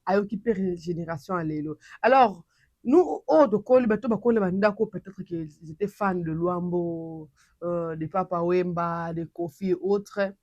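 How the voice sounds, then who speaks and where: Animated Congolese woman commentator, dry sound. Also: fairly low pitch, normal speed, no echo, dull audio. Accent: Congolese French. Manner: animated, many restarts, mixes French and Lingala. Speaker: channel host and commentator. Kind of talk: casual commentary to camera.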